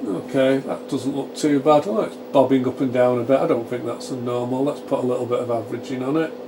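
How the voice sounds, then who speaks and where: A man's voice: mid-range voice, fast. A man giving an informal technical demonstration in a workshop, thinking aloud and explaining step by step as he works. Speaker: presenter of a radio repair channel. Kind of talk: informal technical demonstration, narrated live while working. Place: small home electronics workshop. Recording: steady fan and equipment hum. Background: cooling fans of test equipment.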